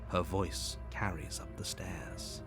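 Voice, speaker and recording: A man voicing a wry, ominous storybook narrator in a game, with a dry, sardonic, measured delivery. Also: low-pitched, steady tone, heavily acted. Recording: game audio with loud music underneath.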